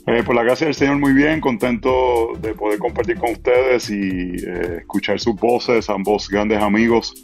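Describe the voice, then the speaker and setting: A man's voice: mid-range voice, normal speed, gravelly, few pauses, faint music behind. A man in his forties with a Puerto Rican accent, a pastor chatting relaxedly as a podcast guest with plenty of fillers. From Puerto Rico. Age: 40s. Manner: relaxed, filler-heavy, self-deprecating. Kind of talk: casual podcast conversation.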